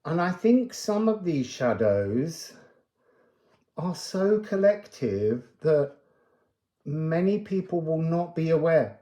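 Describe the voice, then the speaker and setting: Slow-paced, musing card reader in echoey room. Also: mid-range voice, dark tone. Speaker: tarot and oracle card reader. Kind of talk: casual, rambling spiritual card reading. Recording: roomy, echoing sound.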